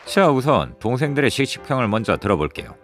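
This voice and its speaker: A man narrating a restaurant review vlog in a lively, descriptive way, recorded in a busy barbecue restaurant. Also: medium pitch, fast talker, soft background music, some chatter behind. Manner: lively, descriptive, chatty with friends. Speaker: food vlogger.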